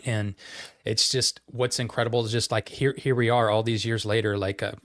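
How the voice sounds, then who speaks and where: Podcast co-host: man, late 40s, from Idaho, earnest and halting, dry close sound. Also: fairly low pitch, level tone, a bit bright, no echo. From Idaho, United States. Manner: earnest, halting, searching for words. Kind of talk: casual conversation. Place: home office.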